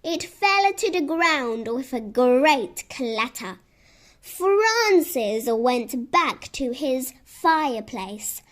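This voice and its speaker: Female children's story narrator: high voice, very slow, deliberate, expressive reading.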